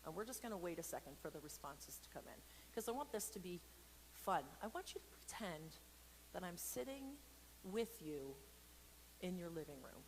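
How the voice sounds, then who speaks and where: A woman's voice: on the low side, animated, moderate pace, poor audio. A woman leading an informal children's storytime for a church, playful and extroverted, chatting in rambling, self-deprecating asides. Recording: noticeable background noise.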